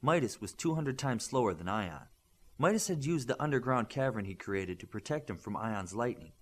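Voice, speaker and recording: A man's voice: medium pitch, husky. Male audiobook narrator for action adventure fiction, mid-range voice with very varied intonation. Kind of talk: scripted narration of an adventure story.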